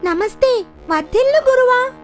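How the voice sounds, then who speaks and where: Tiny, very high-voiced rat king. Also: expressive, moderate pace, faint music behind. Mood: curious, reverent. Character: wary, respectful little rat king in a fable. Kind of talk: children's cartoon story acting.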